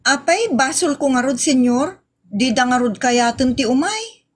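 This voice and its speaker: A woman plays a very high-pitched, rude and scheming young waitress in an Ilocano comedy drama. She is sassy and brash, with an Ilocano accent and a mocking way of answering back. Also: expressive, measured pace, slightly rough, exaggerated voice. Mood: sly.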